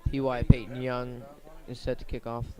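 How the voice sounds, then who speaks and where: A man doing live radio play-by-play of a high school football game in short, plain, measured phrases. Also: monotone, a little mellow, poor audio. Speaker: play-by-play sports commentator.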